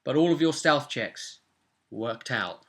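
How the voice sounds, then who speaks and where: Bright-voiced young man recapping a game. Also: medium pitch, lively intonation, slow. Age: young adult. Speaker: host, game master. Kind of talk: casual recap of a tabletop role-playing session. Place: small furnished room at home.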